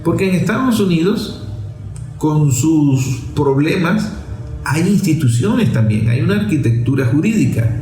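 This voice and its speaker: A man's voice: a little high, expressive, a little slow, dark tone, soft background music. A man giving opinionated, off-the-cuff political commentary, emphatic, repeating and stretching key words to press his point. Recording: roomy, echoing voice recording.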